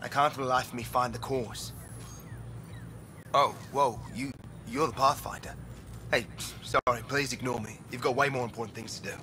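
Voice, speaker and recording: A man's voice: medium pitch, fairly even intonation, normal speed, over music, natural voice. A man voicing a flustered, apologetic male station technician in a video game.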